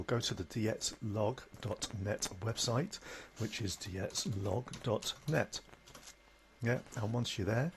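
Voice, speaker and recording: A man with a fairly low voice presents an informal, step-by-step software tutorial. He is matter-of-fact and slightly halting as he demonstrates. There is background noise with some clicking behind the voice.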